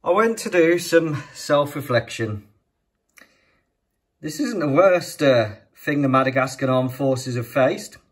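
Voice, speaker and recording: A man giving comic scripted narration as a storyteller, in a deadpan, measured manner. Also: medium pitch, slightly rough.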